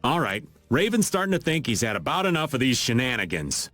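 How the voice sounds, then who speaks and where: A man's voice: mid-range voice, big pitch swings, brisk, a bit bright. An actor playing a laid-back, middle-aged male archer who drawls and cracks jokes. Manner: drawling, wisecracking. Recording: game music underneath.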